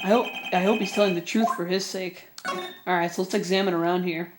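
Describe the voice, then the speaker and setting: A woman's voice: lowish voice, steady tone, fairly quick, very noisy. A woman hosting a game playthrough, reading the on-screen text aloud and chatting casually, her voice a little worn out. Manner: reads game text aloud, laughs easily. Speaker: let's play host. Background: game music.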